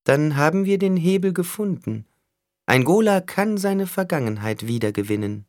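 A man's voice: on the high side, lively intonation, moderate pace, darkish tone, no room sound, studio quality, pitched above the performer's own voice, natural voice. A man voicing a cunning shapeshifter villain in an audiobook, smug and taunting as he negotiates with menace. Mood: musing, probing. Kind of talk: audiobook character acting.

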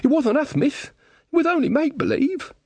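A man's voice: extremely high pitch, sing-song, fairly quick, no echo, voice pushed up, exaggerated voice. A man voicing a frightened, simple village man for a storybook, with hesitant, deferential, rustic speech. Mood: pleading, anxious.

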